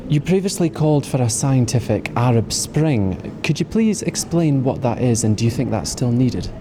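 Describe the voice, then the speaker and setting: Guy reporter, mid voice, quick direct questions, noisy street sound behind. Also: medium pitch, expressive. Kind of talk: interview questions.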